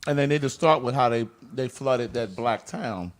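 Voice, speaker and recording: Man, host, lively pitch, curious, asks probing questions, faint background noise. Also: normal speed. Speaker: podcast host. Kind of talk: casual podcast chat.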